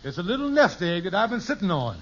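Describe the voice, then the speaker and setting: An actor playing a scheming, hen-pecked husband in an old radio sitcom, with a wheedling, stammering, theatrical delivery. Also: male voice, sits high, very animated, fast. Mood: flustered. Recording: noisy, dull old radio recording.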